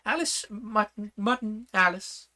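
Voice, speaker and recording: A man's voice: measured pace, pushed and bright, heavily acted. A man voicing an imperious, bossy queen who shrieks her orders in a storybook reading. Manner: shrill, commanding, screaming orders.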